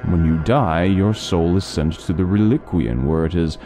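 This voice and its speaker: An actor playing a learned doctor who eagerly lectures on lore in an interactive fantasy story. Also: male voice, low voice, normal speed, mellow, soft background music. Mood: explaining. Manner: talkative, lecturing, fond of lore. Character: scholarly male doctor, middle-aged, chatty lore lover.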